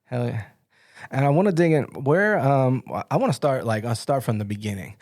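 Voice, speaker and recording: A man's voice: medium pitch, expressive, fairly quick, a little mellow, dry recording. A man hosting a podcast in casual interview chat, informal and slangy, often repeating his words. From United States, from Philadelphia area. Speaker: podcast host and chef.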